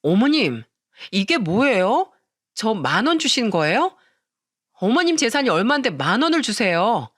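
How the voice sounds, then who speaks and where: A woman's voice: on the high side, very animated, slow pace, long pauses, fairly bright tone, no room sound, studio quality, heavily acted. A woman voicing a fussy, entitled eldest daughter-in-law in a family story, pleading and indignant in manner. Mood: indignant protest. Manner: gushing, whining, indignant.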